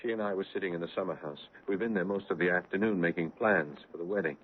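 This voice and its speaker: A man's voice: deep voice, quick pace. A man playing an earnest, anxious young bridegroom, telling his story in formal, ornate phrases in a 1970s radio drama. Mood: distraught. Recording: muffled vintage radio broadcast.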